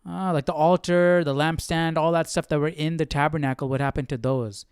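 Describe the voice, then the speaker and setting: A man's voice: fairly high pitch, fairly even intonation, fairly quick, clean tone, no room sound, clean studio sound. A young man hosting a Bible study stream, casually thinking aloud in a hesitant, questioning way. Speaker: Bible study livestream host.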